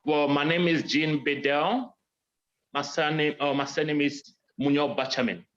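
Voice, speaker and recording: A man's voice: fairly high pitch, steady tone, unhurried, a bit bright. Man, from Cameroon, research presenter, hesitant, many fillers, muffled lo-fi sound. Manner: hesitant, many ums and restarts. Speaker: doctoral student presenter. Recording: dull lo-fi sound.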